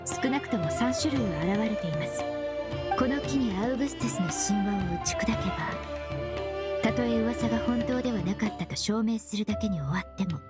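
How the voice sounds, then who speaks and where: Woman playing a high-voiced, composed young perfumer. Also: lively intonation, over loud music, natural voice. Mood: serious. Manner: polite formal speech, measured explanatory delivery. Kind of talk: Japanese anime game voice acting.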